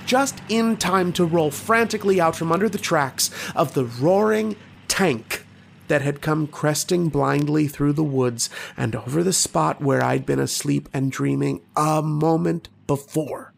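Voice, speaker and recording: A man's voice: fairly high pitch, measured pace, gravelly, a little hiss or hum. A man playing a haunted, lovesick, war-weary soldier in an old-time radio horror drama, with a brooding, dramatic delivery. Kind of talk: old-time radio horror acting, first-person narration and dialogue. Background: violin music.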